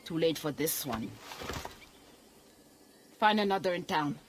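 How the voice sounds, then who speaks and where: A woman's voice: deep voice, animated, measured pace, a little hiss or hum. A weary woman. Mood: hurried. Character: weary woman fleeing to the mountains.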